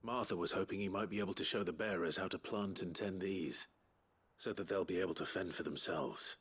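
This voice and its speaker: An actor playing a brooding, resolute nobleman warrior in a fantasy video game, terse and grave, with a husky voice. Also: low-pitched, fairly quick, fairly bright tone. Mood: grave, earnest.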